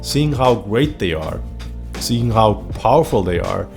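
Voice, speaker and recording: Finnish guy in his 30s, lowish voice, eager vlog talk, faint music under it. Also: expressive, unhurried, vocal fry, darkish tone. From Finland. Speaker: channel host. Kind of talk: personal vlog monologue.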